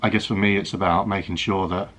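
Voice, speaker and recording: A man in his thirties from the UK speaks with a very low, deep voice. He talks earnestly and reflectively to camera in a personal vlog. His delivery is halting, full of restarts and "you know". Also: steady tone, a bit bright. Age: 30s. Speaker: food and travel vlogger.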